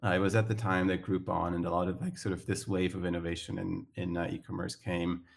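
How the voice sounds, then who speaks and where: A man's voice: sits low, flat delivery, fast talker, vocal fry. A man from Switzerland with a Swiss accent, a startup founder talking as a guest in a casual interview, hesitant with many fillers and restarts.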